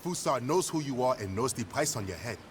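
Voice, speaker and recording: An actor playing a shrewd rebel ally in a video game, speaking in a measured, persuasive way. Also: male voice, medium pitch, animated, slight background noise, natural voice. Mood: urgent, persuasive. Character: shrewd, calm male rebel ally offering a bargain. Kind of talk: video game cutscene acting. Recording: long echoing reverb.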